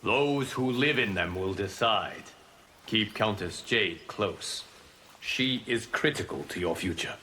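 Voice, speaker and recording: Solemn guardian god, lowish and forceful, formal and cryptic, fighting-game hero. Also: male voice, expressive, slow pace, a little hiss or hum, full character voice. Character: solemn fire god guardian of Earthrealm, male. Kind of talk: video game voice acting.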